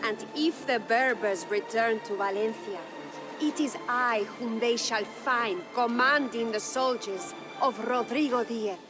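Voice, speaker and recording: A woman's voice: on the high side, a little slow, lightly acted, low-quality recording. An actress playing a proud, grieving medieval Spanish noblewoman who narrates her story in a solemn, stately, formal way for a video game. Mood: proud, resolute. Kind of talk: dramatic scripted storytelling. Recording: studio narration over prominent music.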